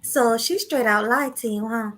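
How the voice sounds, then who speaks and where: A soft-spoken woman hosting a casual podcast chat, candid and lively as she tells a story. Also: fairly high pitch, normal speed. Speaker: podcast host.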